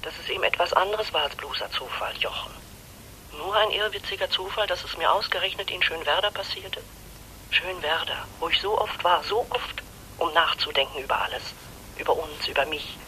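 Radio drama wife, middle-aged, yearning to escape, intense and pleading, piercingly bright tone. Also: female voice, sits low, brisk, a little hiss or hum, low-quality recording. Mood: wistful, pleading. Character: disillusioned middle-aged wife longing to escape her life. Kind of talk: German radio play acting.

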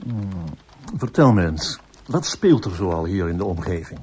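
A man's voice: sits low, expressive, a little slow, darkish tone, breathy edge, full character voice. A man playing a composed, probing police inspector in a radio drama, formal and methodical.

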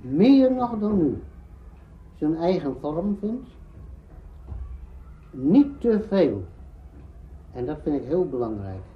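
Male interviewee, deliberate, animated pitch with a slow pace, dull archival sound.

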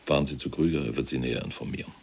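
An actor playing a proud, self-justifying imprisoned SS general in a German radio drama, gravelly and husky, with a clipped, emphatic military delivery. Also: male voice, extremely low pitch, racing, darkish tone, a little hiss or hum. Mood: proud recounting.

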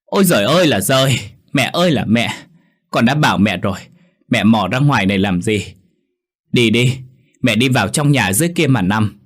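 A man voicing a burly, drunk middle-aged son who scolds his old mother loudly and impatiently. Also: expressive, moderate pace. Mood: angry scolding. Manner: loud, scolding, booming.

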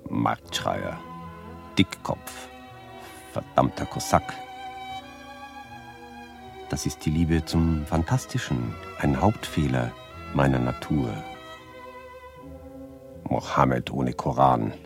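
An actor reciting in a 1970s radio drama as a grave, fiery man, with a weighty, halting delivery. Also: a bass voice, creaky, over music, exaggerated voice. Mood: scornful.

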